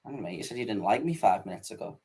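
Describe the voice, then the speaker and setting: A man's voice: lowish voice, expressive, very fast, a little mellow, breathy edge. A man hosting a live online interview, bantering and teasing his guest. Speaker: host.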